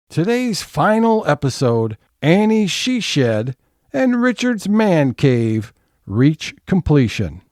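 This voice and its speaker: An actor playing a suspenseful, teasing narrator of an audio comedy serial, with measured theatrical delivery. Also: male voice, mid-range voice, darkish tone, dry recording, studio quality.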